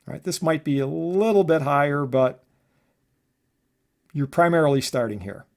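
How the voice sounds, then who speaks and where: A man's voice: on the high side, lively intonation, normal speed, creaky. A man giving an informal technical lecture as a professor, explaining step by step and often checking in with short tag questions. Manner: conversational, often adding check words like "right?" and "okay?". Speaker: electronics professor giving a lesson.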